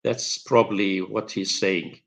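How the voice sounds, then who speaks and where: Low-voiced lecturer. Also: male voice, fairly even intonation, gravelly. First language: Turkish. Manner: searching for words, many pauses and fillers. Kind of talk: academic talk with slides.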